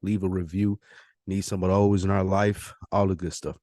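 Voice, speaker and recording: A man's voice: deep voice, little pitch movement, moderate pace, dark tone, no echo, clean studio sound. A man hosting a podcast in a casual, easygoing, slangy conversational style. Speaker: podcast host.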